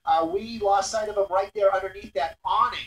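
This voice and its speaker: A man giving live breaking-news commentary as a helicopter reporter, halting and repeating himself as he describes the scene. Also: sits high, steady tone, fairly bright tone. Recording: roomy, distant airborne radio link, noisy.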